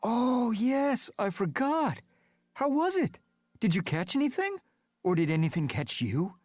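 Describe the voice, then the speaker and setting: A man putting on a lazy, squeaky house tomcat. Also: big pitch swings, a little slow, a little mellow, no room sound, exaggerated voice. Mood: eager. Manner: high eager squeaky mewing.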